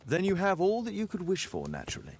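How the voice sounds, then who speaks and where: An actor playing an inquisitive Victorian detective in a video game, with a British accent and clipped, formal delivery. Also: male voice, sits high, huge pitch swings, fast talker, gravelly, a little mellow, a little hiss or hum, heavily acted. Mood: probing. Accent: British English. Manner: clipped, formal, probing questions. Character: brisk, inquisitive Victorian detective, adult man. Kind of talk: video game dialogue acting.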